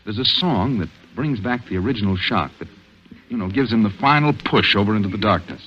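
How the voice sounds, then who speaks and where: An actor playing an earnest, worried police detective in 1940s radio drama style, brooding and terse. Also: male voice, fairly low pitch, expressive, a little fast, slight background noise, natural voice. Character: earnest, worried male police detective confiding in his sweetheart. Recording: dull vintage radio recording.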